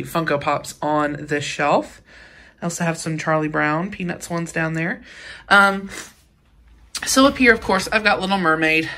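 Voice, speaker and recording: Young adult American female vlogger, slightly low register, informal and chatty, mildly sniffly, handheld home recording. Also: bright, forceful tone. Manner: chatty, informal, uses slang. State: sick with a sniffle. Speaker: book vlogger and channel host. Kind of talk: casual shelf-tour chat. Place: indoors in a home library room.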